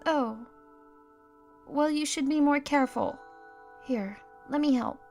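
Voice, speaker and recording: A woman voicing a bright, caring young girl in an anime fan audio drama. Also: high-pitched, slow, faint music behind.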